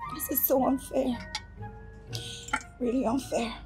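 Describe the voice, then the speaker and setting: A woman's voice: high-pitched, fairly even intonation, takes it slowly, over music. A woman with a Nigerian accent acting as an overfamiliar, meddling best friend in a screen drama. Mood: aggrieved. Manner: chatty, reminiscing. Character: meddling, overfamiliar young female best friend, smug and self-pitying.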